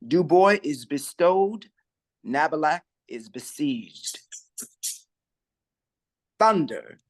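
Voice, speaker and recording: A man reading stage directions aloud in a measured, even way during a play reading. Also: on the high side, rough recording.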